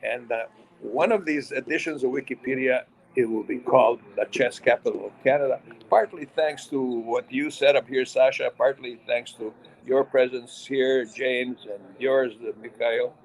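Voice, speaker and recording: A man's voice: mid-range voice, steady tone, moderate pace, low-quality recording. An older man who grew up in Yugoslavia, hosting a casual radio interview and telling long, chatty stories. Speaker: radio host.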